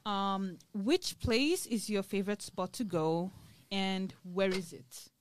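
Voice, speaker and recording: A young woman from Nigeria hosting a casual student podcast, lively and quick to laugh, with frequent filler words. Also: medium pitch. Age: young adult. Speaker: podcast host. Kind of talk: casual group chat.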